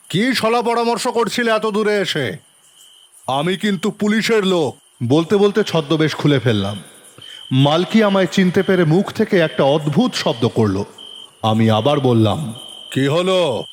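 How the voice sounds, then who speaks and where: A man's voice: fairly high pitch, expressive, a little slow. A man narrating a detective story dramatically in the first person, turning stern when he questions as the policeman. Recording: long reverb, faint music behind.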